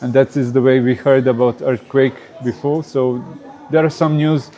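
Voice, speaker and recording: A man's voice: medium pitch, fairly even intonation, moderate pace, dark tone, low-quality recording. A man from Croatia speaking English with a Croatian accent as an interview guest, hesitant with many fillers. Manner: hesitant, many uh fillers, non-native phrasing. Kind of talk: casual interview answers.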